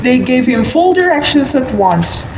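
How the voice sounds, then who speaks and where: Higher-pitched woman narrating, echoey room. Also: very animated, unhurried. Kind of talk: scripted storytelling narration for amateur radio play. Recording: muffled, echoey room with background noise.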